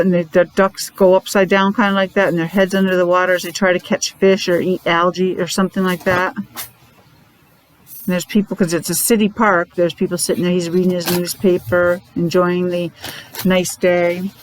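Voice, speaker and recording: A woman's voice: on the low side, flat delivery, normal speed, a little hiss or hum, poor audio. A woman teacher reading a picture book aloud to young children, explaining it simply in short, repetitive asides. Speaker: preschool or special-needs teacher.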